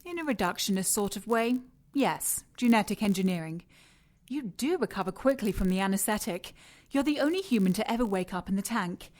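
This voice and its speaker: An actress playing a cold, seductive scientist in an audio drama, speaking with clinical precision and teasing condescension. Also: female voice, mid-range voice, expressive, brisk, darkish tone, lightly acted. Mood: clinical, matter-of-fact. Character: cold, mocking, seductive female scientist in charge of experiments. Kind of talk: audio drama acting, sci-fi noir.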